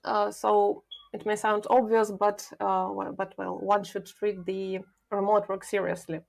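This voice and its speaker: A woman speaks as a guest on a podcast, explaining her work in a measured, thoughtful way. Her voice carries a noticeable vocal fry, and she often pauses with filler sounds while searching for words. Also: medium pitch, level tone, darkish tone. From Ukraine. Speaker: guest, product manager. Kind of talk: podcast interview.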